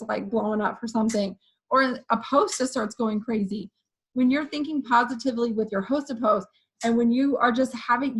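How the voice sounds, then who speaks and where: A woman with a hoarse voice giving an energetic motivational pep talk to her sales team, often checking in with "okay" and "you guys". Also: fairly high pitch, low-quality recording. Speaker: direct sales team leader.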